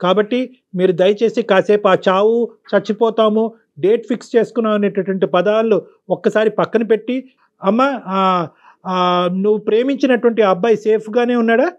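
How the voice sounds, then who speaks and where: A man's voice: sits high, moderate pace, highs cut off. A man counselling as a doctor, fatherly and emphatic, often repeating his phrases. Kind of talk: advisory counselling talk.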